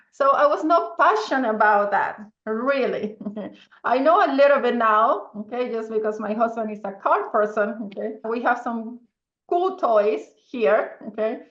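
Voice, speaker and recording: A woman with a strong Venezuelan Spanish accent, her voice set a little high. She talks in an easygoing interview manner, tagging her points with "okay?" and laughing easily. The audio is roomy and slightly dull. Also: unhurried, fairly bright tone. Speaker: podcast guest, entrepreneur. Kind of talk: casual interview talk.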